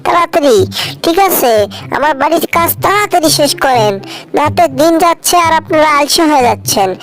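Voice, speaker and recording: A woman dubbing a bossy, easily panicked cartoon homeowner who scolds and wails loudly. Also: high voice, fairly quick. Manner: scolding, wailing exclamations. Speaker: fan dub voice artist. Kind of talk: homemade cartoon dub.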